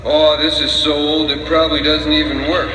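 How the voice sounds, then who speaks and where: A man's voice: a little high, level tone, normal speed, close to an everyday voice. A man voicing a curious, mischievous young storybook cartoon bear with a childlike, innocent manner. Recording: roomy sound, loud music behind. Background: music.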